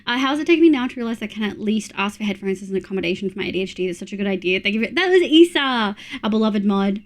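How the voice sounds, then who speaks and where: A young woman streaming, chatting with viewers in a relaxed, supportive way while congested from a cold. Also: mid-range voice, very fast. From Australia. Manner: chatty, supportive, thinks aloud with fillers. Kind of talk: casual chat reading viewer messages.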